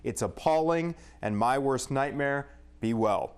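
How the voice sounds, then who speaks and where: A man with an average-pitched voice reports as a news correspondent. He speaks in the manner of a live broadcast update, addressing the anchor. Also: animated, measured pace, clean tone.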